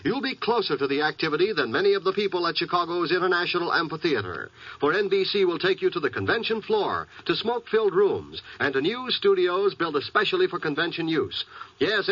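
A man's voice: high-pitched, fairly bright tone, breathy edge, no echo. An American man announcing a network promo in the formal style of 1950s radio. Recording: muffled, dry vintage radio recording.

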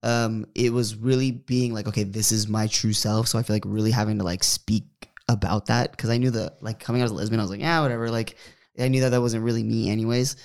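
A man's voice: fairly low pitch, fairly even intonation, fast talker, a little mellow, clean tone. A young man, a podcast co-host, telling a personal story in a casual, rambling way with lots of fillers and restarts. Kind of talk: casual chat.

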